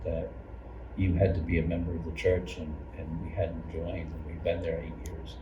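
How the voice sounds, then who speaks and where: Older guy w really low voice telling church memories, kinda halting, mic far away w noise. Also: level tone, lo-fi sound. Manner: halting, circling storytelling with repeated phrases. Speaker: longtime church member and Sunday school teacher. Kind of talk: personal reminiscence in an oral history interview. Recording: roomy, distant mic, noisy background.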